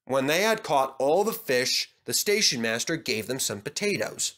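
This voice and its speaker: A man's voice: medium pitch, big pitch swings, normal speed, a bit bright. A man reading scripted narration for a children's story as the storyteller.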